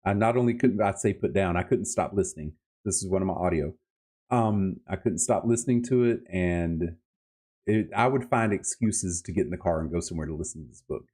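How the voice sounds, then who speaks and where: Low-pitched guy hosting a book podcast, super into it, casual and emphatic. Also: fairly quick, a little mellow. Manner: enthusiastic, emphatic, colloquial. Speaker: podcast host reviewing books. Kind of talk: casual book-review chat.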